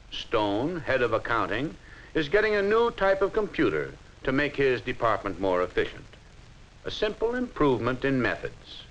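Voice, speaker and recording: A man's voice: mid-range voice, fairly bright tone. An American man playing a wry, knowing narrator in a folksy 1950s instructional film, on a muffled, hissy soundtrack.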